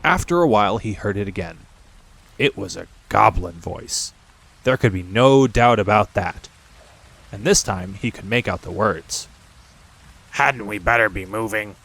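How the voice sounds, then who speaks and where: A man's voice: lowish voice, expressive, unhurried, gravelly, a bit bright, poor audio. A man narrating a classic storybook as a scripted audiobook reading.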